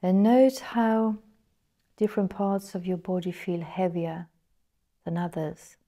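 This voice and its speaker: A woman guiding a meditation in a soft, breathy, partly whispered voice, measured and full of pauses. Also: fairly low pitch, fairly even intonation. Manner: slow, measured, pausing between phrases. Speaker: meditation guide. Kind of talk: guided meditation narration.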